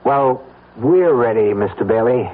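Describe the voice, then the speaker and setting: A man's voice: medium pitch, expressive, measured pace. An actor playing a menacing male plotter who goads and presses, in old radio drama style. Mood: menacing, insistent. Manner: pressing, goading. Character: menacing male conspirator, coldly insistent plotter. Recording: muffled, thin vintage radio recording.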